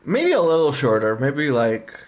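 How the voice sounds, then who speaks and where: Very low-voiced woman streamer chatting. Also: very animated, moderate pace, a little mellow. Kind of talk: casual livestream chat.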